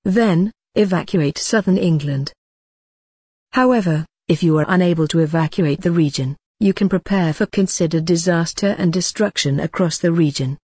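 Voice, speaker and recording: A woman voicing a formal emergency broadcast announcer, reading a scripted alert in a grave, measured, deliberate way. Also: on the low side, a little mellow, husky, no room sound, studio quality.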